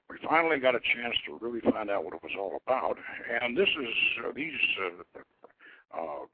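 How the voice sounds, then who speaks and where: Husky man on a tinny phone line. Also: lowish voice. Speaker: radio show host. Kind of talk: informal show introduction and dramatic story reading.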